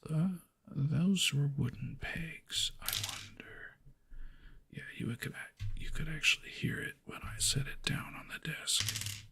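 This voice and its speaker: Whispering man, slow casual ASMR chat. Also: on the high side, creaky. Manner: soft whispering and murmuring, unhurried. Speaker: ASMR live streamer.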